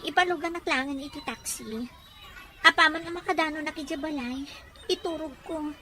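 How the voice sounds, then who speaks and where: A woman's voice: high-pitched, normal speed, bright, forceful tone, a little hiss or hum. An actress playing an evasive young woman juggling suitors in a radio drama. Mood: flustered, excusing.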